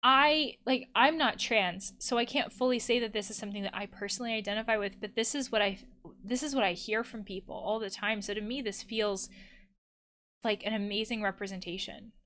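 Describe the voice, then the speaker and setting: A woman's voice: medium pitch, a little fast, pushed and bright. A woman hosting a game playthrough, thinking aloud in a reflective, conversational way. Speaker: host of a gaming channel, therapist. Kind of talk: let's play commentary, reading game text aloud.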